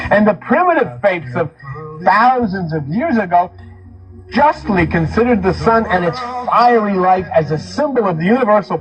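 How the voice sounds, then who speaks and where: Male lecturer, high register, impassioned and emphatic delivery with repeated phrases, music underneath. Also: rough recording. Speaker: lecturer on religion and mysticism. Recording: speech mixed over music.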